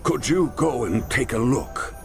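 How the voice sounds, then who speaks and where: A man's voice: medium pitch, lively intonation, measured pace, vocal fry, faint music behind. A man voicing a weary, worried male leader of a forest folk in a video game, earnest and grave. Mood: pleading. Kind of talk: video game cutscene voice acting.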